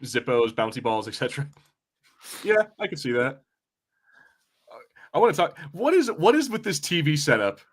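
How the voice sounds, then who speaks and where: A man's voice: fairly high pitch, sing-song, moderate pace, pauses often. A man co-hosting a podcast, chatting casually and wryly with rambling, sweary commentary. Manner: rambling, profane, wry. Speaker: podcast co-host. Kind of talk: casual watch-party banter and commentary.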